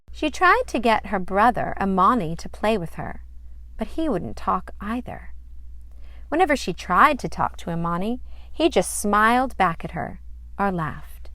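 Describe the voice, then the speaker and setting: A woman narrating a children's storybook in an expressive read-aloud style. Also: medium pitch, no echo.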